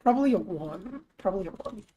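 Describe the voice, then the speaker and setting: A young woman streamer chatting casually as she works, thinking aloud, her voice lower than usual today, with bursts of high excitement. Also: a little fast, slight background noise. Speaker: streamer and artist. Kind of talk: casual live-stream chat while working.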